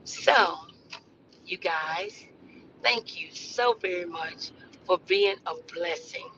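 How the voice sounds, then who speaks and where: A woman hosting a motivational faith podcast, speaking off the cuff in an earnest, halting, preacherly way. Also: on the low side, lo-fi sound, noisy background. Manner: preacherly, earnest, halting delivery with repeated phrases. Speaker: host of a motivational talk podcast. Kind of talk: inspirational faith talk, loosely improvised.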